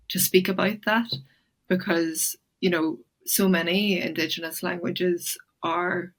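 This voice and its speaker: Low, even-toned reflective woman. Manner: thoughtful, hesitant, many fillers and restarts. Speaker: guest. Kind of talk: reflective conversational interview.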